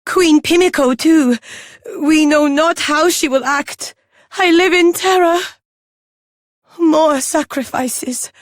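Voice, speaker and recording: A frightened village woman in a fantasy game. Her voice is high and close, and she speaks slowly in short, dread-filled phrases. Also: no echo. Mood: fearful. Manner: halting, clipped, dread-filled. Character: terrified village woman living under a monster's rule. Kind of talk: video game voice acting.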